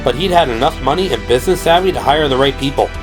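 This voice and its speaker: Male narrator, mid-pitched, dramatic scripted storytelling, audio drama, prominent music underneath. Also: expressive, quick pace. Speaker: narrator and performer.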